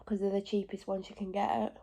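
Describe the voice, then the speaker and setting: A woman's voice: mid-range voice, level tone, mellow, muffled recording. A woman talks through her morning in a loose, rambling vlog, correcting herself as she goes. She is bunged up with a cold, so her voice comes out stuffy and congested. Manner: rambling, talks in quick asides and self-corrections. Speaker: vlogger. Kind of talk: casual chatty vlog. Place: at home.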